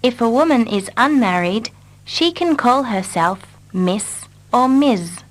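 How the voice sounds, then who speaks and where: A woman repeating short English dialogue lines slowly and carefully for learners. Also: a little high, expressive. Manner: carefully repeats each line. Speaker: English teacher. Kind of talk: slow scripted reading for learners.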